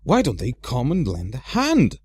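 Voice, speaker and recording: A man's voice: medium pitch, wildly swooping pitch, unhurried, a little mellow, breathy edge, pitched above the performer's own voice, heavily acted. A man voicing a flustered, grumbling hobbit householder in a storybook, fussy and indignant. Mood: annoyed.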